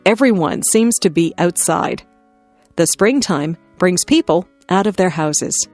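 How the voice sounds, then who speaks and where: A woman narrating simple scripted stories for language learners, reading in careful, clearly separated phrases. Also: lowish voice, animated, a little slow, a little mellow, dry recording, soft background music. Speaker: narrator of an English listening lesson. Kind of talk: scripted narration, read-aloud beginner stories.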